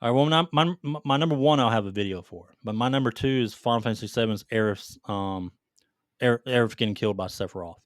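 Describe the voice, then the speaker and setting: A man's voice: medium pitch, fast talker, no echo, studio quality. A man hosting a gaming podcast, chatting casually and emphatically with plenty of swearing. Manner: profane, emphatic fan talk. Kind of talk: casual chat, counting down favorites.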